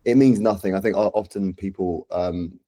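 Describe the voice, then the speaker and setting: A man's voice: deep voice, normal speed, mellow, no echo. A man in his thirties with a London accent, chatting casually as a podcast guest. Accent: London English. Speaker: podcast guest, social media and community manager. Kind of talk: casual chat.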